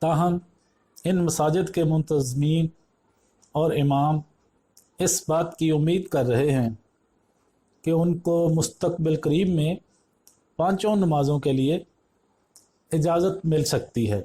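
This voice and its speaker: A man narrating a scripted news report, reading formally in measured phrases. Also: fairly high pitch, mellow.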